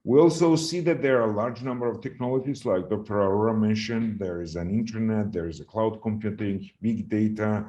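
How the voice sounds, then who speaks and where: The lowish-voiced Georgian-accented man at a policy conference, middle-aged, feeling his way through his presentation and leaning on the key words. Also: mellow. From Georgia (the country). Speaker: conference presenter on health governance. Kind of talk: formal presentation, speaking extempore.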